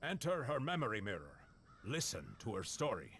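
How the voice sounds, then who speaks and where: An actor playing a stern, authoritative master monster hunter in a video game, grave and instructing. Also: male voice, medium pitch, sing-song, moderate pace, a bit bright, a little hiss or hum.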